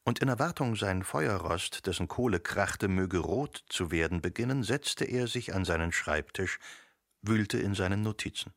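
A man with a gravelly voice narrating a literary radio drama from a script. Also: deep voice, expressive, normal speed, fairly bright tone, no echo, studio quality, full character voice.

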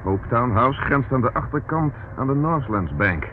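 An actor playing a dry, sensible police detective in a radio play, speaking matter-of-factly. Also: male voice, medium pitch, very animated, normal speed, natural voice. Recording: narrowband old radio recording, clear noise.

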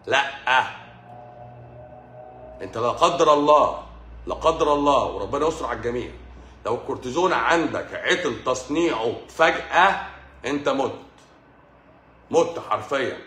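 An Egyptian-accented man lectures on health, hammering his points home by repeating them and dropping in English medical terms. His voice sits at an ordinary middle pitch. The room around him echoes, with a faint hum of ambience behind. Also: expressive, moderate pace, pauses often, pushed and bright. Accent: Egyptian Arabic. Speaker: doctor giving a health livestream. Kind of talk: livestream lecture with viewer questions. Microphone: livestream microphone.

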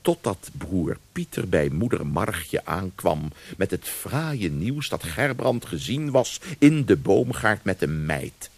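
A man's voice: low voice, very animated, slow pace, gravelly, husky. A man narrating a scripted radio drama as storyteller.

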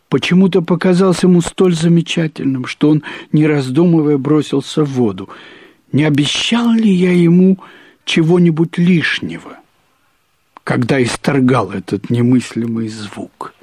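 A man reads a literary story aloud in the first person, expressively, with deliberate phrasing, drawn-out words and pauses. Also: fairly high pitch, dark tone, breathy edge, no echo, studio quality. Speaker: narrator reading a short story aloud.

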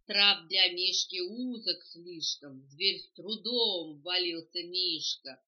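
A woman's voice: fairly low pitch, slow, highs cut off. An older woman reading a children's verse tale aloud with theatrical, emphatic phrasing, on a home cassette recording. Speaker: storyteller reading to a grandchild.

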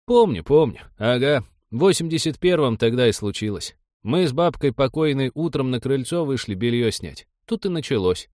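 Brief: male narrator as plain-spoken old countryman, grumbling and folksy, pitched up, very dry recording. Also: expressive, normal speed, darkish tone, no echo, natural voice. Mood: reminiscing. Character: stubborn old village man, plain-spoken war veteran.

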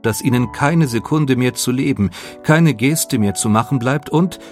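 A man reading scripted biographical narration in a measured, composed manner. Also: mid-range voice, darkish tone. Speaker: documentary narrator. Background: music.

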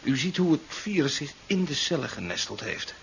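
An actor playing a brisk, jovial, slightly eccentric doctor-scientist in a 1970s radio play, hearty one moment and muttering to himself the next. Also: male voice, fairly high pitch, sing-song, creaky, a bit bright, full character voice. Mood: businesslike. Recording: muffled 1970s radio recording with hiss.

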